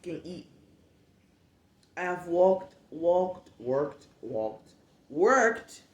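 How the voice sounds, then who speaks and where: A woman's voice: fairly low pitch, a little mellow, roomy sound, low-quality recording. A woman in her late twenties from Cameroon with a Cameroonian accent, hosting a candid solo podcast, frank and emphatic with repetition. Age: late 20s. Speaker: podcast host.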